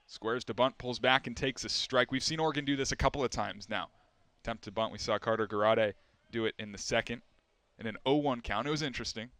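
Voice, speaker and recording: A man's voice: medium pitch, fairly bright tone, no echo. Young man calling baseball play-by-play. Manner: measured, rising for big plays. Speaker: play-by-play announcer.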